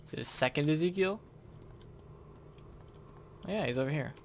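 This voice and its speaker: A man's voice: on the high side, expressive, normal speed, creaky, a little hiss or hum. Chatty man doing casual gameplay commentary. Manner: chatty, thinking aloud. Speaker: gaming video host.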